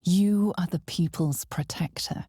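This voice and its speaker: A woman playing an ominous, all-knowing narrator of a dark fantasy video game, speaking in a measured, dramatic way with hushed intensity. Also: fairly low pitch, slightly rough, dry recording, studio quality. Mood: solemn, measured. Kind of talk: scripted second-person fantasy game narration.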